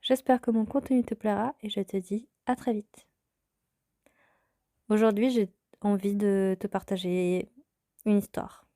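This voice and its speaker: A woman in her thirties, from France, hosting her own podcast and telling a personal story in a calm, reflective way. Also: medium pitch, unhurried, long pauses, no echo, clean studio sound. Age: 30s. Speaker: podcast host.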